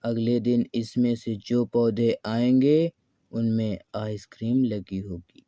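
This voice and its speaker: A man voicing a calm, benevolent tree god in a cartoon moral story, speaking in a measured, formal, fatherly way. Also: mid-range voice, no echo, highs cut off, natural voice. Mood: kindly, reassuring. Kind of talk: Hindi animated moral story acting.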